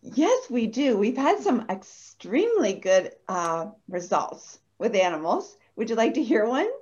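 A woman talking as a podcast guest, telling stories in a chatty, animated way. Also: on the high side, measured pace. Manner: chatty, animated anecdote teller. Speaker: guest, energy healer. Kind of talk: casual podcast interview storytelling. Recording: dull lo-fi audio.

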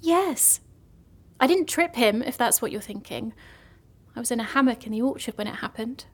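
A woman's voice: on the high side, animated, moderate pace, husky. An actress playing a lonely, gloomy young woman in an audio drama comedy, telling morbid stories earnestly and hesitantly. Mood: gloomy, matter-of-fact.

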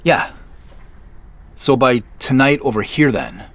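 A hesitant, slow-talking professional guy. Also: mid-range voice, animated, fairly bright tone. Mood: polite, hesitant. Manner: halting, awkward. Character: Joseph, hesitant, apologetic middle-aged professional man with dad jokes. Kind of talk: naturalistic audio drama acting.

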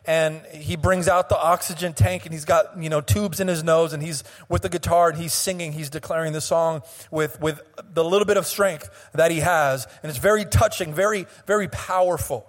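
High-voiced hoarse man preaching in a hall. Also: steady tone. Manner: impassioned preaching with sung, drawn-out phrases and frequent restarts. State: slightly hoarse. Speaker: pastor. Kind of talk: sermon. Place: church sanctuary.

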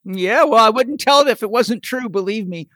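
A man's voice: sits high, normal speed, bright, forceful tone, no room sound, studio quality. A man interviewing a guest in a frank, easygoing, blunt way. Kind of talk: casual interview conversation.

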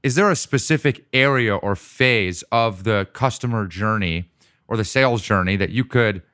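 Smooth, dry-voiced male podcast host. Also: on the low side, a bit bright, no echo. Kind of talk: business podcast interview.

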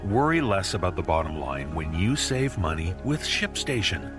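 A man delivering a smooth, formal, scripted podcast ad read with fluent phrasing. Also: low-pitched, big pitch swings, moderate pace. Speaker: podcast ad reader. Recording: background music bed under voice. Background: spooky atmospheric music.

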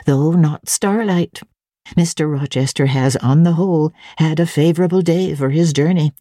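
A woman voicing a kindly, chatty elderly housekeeper in a dramatic audiobook reading, with a gentle, unhurried, gossipy manner. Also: sits low, no room sound, clean studio sound. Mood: placid.

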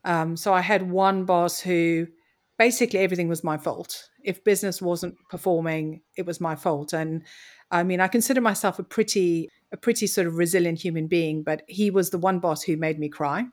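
A woman's voice: fairly low pitch, steady tone, moderate pace. A woman from Mozambique, telling her story as an interview guest in an articulate, reflective way. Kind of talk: conversational interview.

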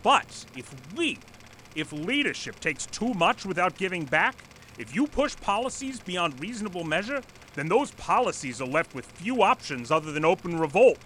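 An actor in an audio drama playing an earnest, stubborn former manager who lectures formally and pleads insistently. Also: male voice, high-pitched, sing-song, moderate pace, sharp tone, slight background noise, full character voice. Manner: formal, insistent, speech-making. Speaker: presenter at a company meeting. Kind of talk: audio drama acting, satirical dystopian comedy.

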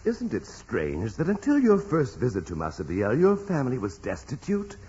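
An actor playing a stern, sceptical imperial prosecutor, husky and formally interrogating, in a 1940s radio drama. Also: male voice, medium pitch, wildly swooping pitch, normal speed, warm tone, exaggerated voice. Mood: stern, pressing. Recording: dull vintage radio broadcast.

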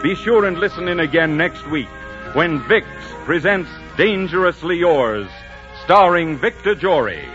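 A man's voice: a little high, animated, a little slow, music in the background. A man with an American accent announcing in a polished, persuasive 1940s radio style. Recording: muffled 1940s radio broadcast.

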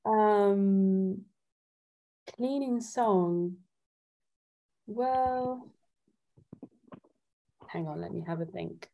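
Slow, mellow-voiced woman thinking aloud. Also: medium pitch, vocal fry, poor audio. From Italy. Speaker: guest singer-songwriter. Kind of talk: casual interview chat.

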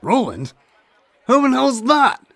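Fantasy audio drama dwarf criminal, gravelly and threatening, wildly swinging pitch, noisy track. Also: male voice, wildly swooping pitch, slow pace, a bit bright, no room sound, full character voice. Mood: angry, suspicious. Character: menacing cornered dwarf criminal, rough and threatening.

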